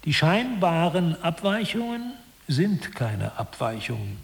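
A man's voice: a little high, sing-song, slow pace, creaky, breathy edge, heavily acted. A man playing an articulate lecturer who explains mock-scholarly theory in a scripted satirical radio play. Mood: explanatory. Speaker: narrator.

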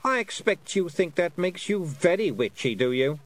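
A haughty fairy Queen, acted out by a male narrator for an audiobook. The voice sits high and swings widely, cold and condescending. The words are drawn out with mock politeness and scornful sweetness. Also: sing-song, moderate pace. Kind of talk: audiobook character voice.

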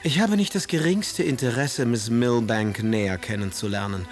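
An actor playing a witty, melancholy young poet in a radio drama, reading letters and verse in an ironic, theatrical manner. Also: male voice, mid-range voice, normal speed, music in the background, natural voice. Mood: ironic, arch.

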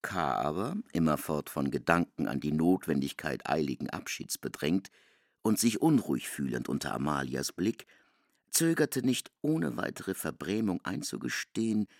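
Deep, gravelly man narrating with swooping intonation. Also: sing-song, normal speed, no echo, studio quality. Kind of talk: literary radio drama narration.

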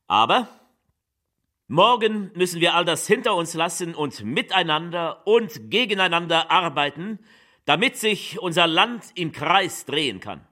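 An actress playing a solemn, stirring political leader who declaims a theatrical speech in weighty, measured phrases. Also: female voice, on the low side, sharp tone, heavily acted. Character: female political leader, solemn, stirring, coldly militant orator.

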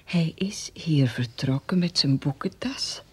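A woman playing an anxious, naive old widowed mother in a radio play, breathy, hesitant and stammering. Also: low voice, lively intonation, unhurried, dark tone, heavily acted. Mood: fretful, hesitant.

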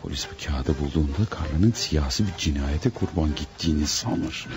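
An actor in a radio drama playing a panicked, scheming killer who mutters dramatically to himself. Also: male voice, extremely low pitch, normal speed. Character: panicked scheming male killer hiding beside his victim. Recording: noisy old radio drama recording.

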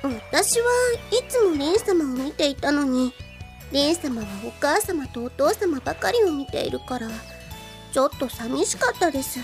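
Affectionate, courteous young girl: very high-pitched, gentle, for anime audio drama. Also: expressive, a little slow, faint music behind. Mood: teasing, wistful. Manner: courteous, gentle formal speech. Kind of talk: anime-style Japanese audio drama acting.

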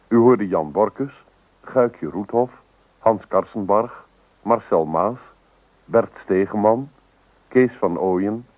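A man's voice: deep voice, no room sound. A man reading scripted closing credits as a radio drama announcer. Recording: tinny old radio recording.

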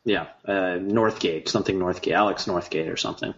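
A man co-hosting a casual sports podcast, opinionated and quick to jump in. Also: low voice, fairly even intonation. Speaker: podcast co-host. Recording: dull lo-fi podcast audio.

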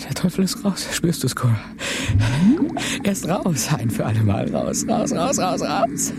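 An actor playing a desperate, passionate, volatile drifter in a German radio drama, intense and given to repeating himself. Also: male voice, fairly high pitch, very animated, a little slow, gravelly, loud music behind the voice, heavily acted. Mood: frantic relief. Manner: intense, erratic, repeating words.

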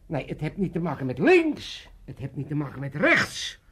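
High-voiced excitable old father. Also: normal speed, slightly rough, full character voice. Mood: excited, pompous. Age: older. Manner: rambling, stammering, pompous. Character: excitable self-important old Amsterdam father, dreaming of politics. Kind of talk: 1980s comic radio play acting.

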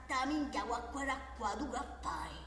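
A woman's voice: on the high side, lively intonation, unhurried, a bit bright. A woman playing a bullying, mock-polite male Sicilian mafia boss in a satirical radio drama, menacing and wheedling, with frequent questioning interjections. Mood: sly pressure. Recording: echoey hall, distant mic, faint music.

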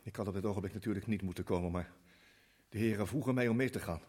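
A man's voice: lowish voice, fast, a little mellow, slightly rough. An actor playing a polite but evasive man suspected of lying in a radio drama, prone to stammering when pressed. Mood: apologetic. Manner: polite then flustered, stammering. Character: smooth-talking man hiding guilt, defensive.

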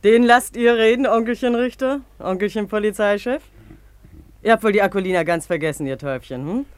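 An actress in a 1950s radio play voicing a brazen, teasing young washerwoman, sharp-tongued, mocking and overly familiar. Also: mid-range voice, fairly quick, smooth, lightly acted. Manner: mocking, familiar, cajoling with pet names.

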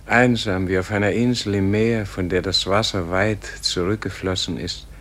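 A man playing a dying, serene Gandhi in a solemn radio play, speaking in a gentle, contemplative, weary manner. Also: sits low, fairly even intonation, moderate pace. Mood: weary pleading.

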